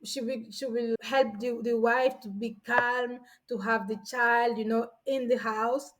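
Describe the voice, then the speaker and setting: A woman's voice: fairly high pitch, fairly even intonation, measured pace. A woman in her forties from São Tomé and Príncipe, a guest telling stories in a chatty way with frequent restarts. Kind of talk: casual storytelling.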